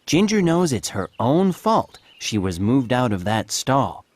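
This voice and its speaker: A man's voice: medium pitch, big pitch swings, measured pace, darkish tone, pitched above the performer's own voice. A man reading a children's storybook, voicing a friendly gossipy pony. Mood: chatty. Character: chatty fat gray male pony.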